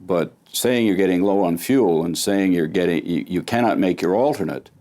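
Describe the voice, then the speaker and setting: A man's voice: low voice, normal speed, warm tone. A man giving opinionated commentary as an expert interviewee.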